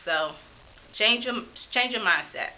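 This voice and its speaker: A woman's voice: mid-range voice, expressive, slow pace, lo-fi sound. A woman in her thirties vlogging to camera in a casual, upbeat and encouraging way. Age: 30s.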